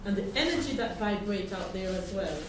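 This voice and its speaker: Halting woman in a very echoey room. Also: mid-range voice, expressive. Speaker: community meeting participant. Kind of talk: reflective account in a group discussion. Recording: echoey room, distant mic, noisy.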